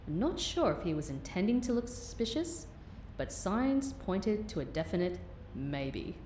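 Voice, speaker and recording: A woman's voice: big pitch swings, unhurried, a little mellow. A woman playing a composed, wry female field agent who narrates and speaks in an audio drama. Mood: wry narration. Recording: roomy echo, faint rumbling noise.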